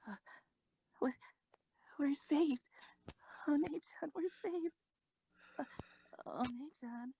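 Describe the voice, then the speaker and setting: A woman's voice: high voice, slow pace, pauses often, mellow, slight background noise. A woman playing a frightened young girl, a little sister, in an audio drama, stammering and breathless. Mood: shaken relief. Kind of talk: fan audio drama acting.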